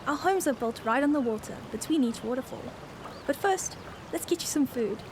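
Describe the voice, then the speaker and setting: A woman acts a friendly fisherwoman guide in a radio drama. She has a high-pitched voice and a breezy, reassuring manner, with clearly audible running water and ambient noise around her. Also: expressive, normal speed. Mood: kind, hospitable. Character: friendly, easygoing fisherwoman and generous local guide.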